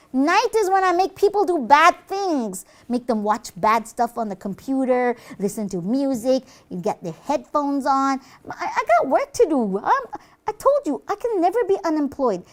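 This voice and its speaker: A woman's voice: high voice, very animated, moderate pace. Woman playing a brash, boastful little she-devil. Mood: brash, gloating. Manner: cackling, gloating, dramatic exclamations. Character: boastful, mischievous female devil minion, brash and wicked. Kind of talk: children's puppet show.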